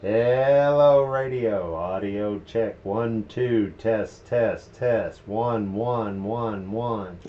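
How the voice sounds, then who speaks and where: Deep-voiced man amid heavy radio noise. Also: drawn-out words, hardly any pauses. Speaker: CB radio operator and livestreamer. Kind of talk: casual CB radio chat. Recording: dull CB stream with loud static noise.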